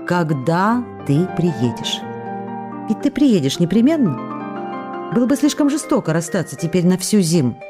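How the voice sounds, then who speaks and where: An actress playing a tender, lovestruck young actress in a radio play, reading her letters aloud in an intimate, wistful manner with pensive pauses. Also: lowish voice, very animated, over music. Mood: longing, playful.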